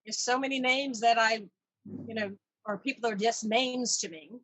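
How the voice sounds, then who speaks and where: A woman's voice: on the high side, fairly even intonation, normal speed, a bit bright, dry recording, low-quality recording. Lady chairing an online meetup, chatty n self-deprecating, talking on a tablet, pretty dry sound. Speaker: exhibition chair hosting a virtual meeting. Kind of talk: casual welcome and chat. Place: online video meeting. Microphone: tablet on a video call.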